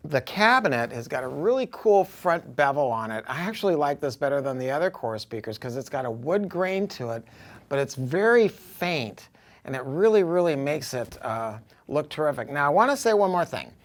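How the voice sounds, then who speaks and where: A man's voice: on the high side, very animated, moderate pace. Emphatic American salesman, informal and salty. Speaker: audio dealer presenting a product. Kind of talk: informal product review, off-the-cuff sales talk.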